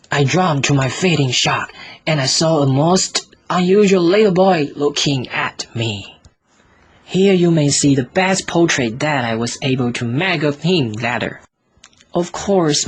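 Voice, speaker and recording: A man reads a storybook as scripted audiobook narration, in short, careful, deliberate phrases. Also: mid-range voice, breathy edge. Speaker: audiobook reader.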